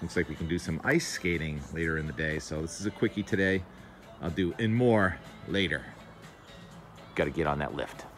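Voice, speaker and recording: A man's voice: sits low, normal speed, soft background music. A man giving a casual weather update while walking his dog outdoors at a mountain resort, filming on a phone. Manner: easygoing, chatty, talks while walking the dog. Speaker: weather forecaster and vlogger. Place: outdoors at a mountain resort at dawn, then a lodge hallway.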